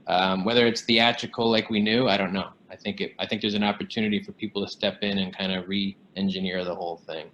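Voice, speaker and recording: A man's voice: deep voice, fairly even intonation, fast talker, few pauses, a bit bright, highs cut off, slight background noise. A man who makes documentaries, speaking on a casual online panel, rambling and full of fillers. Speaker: documentary producer.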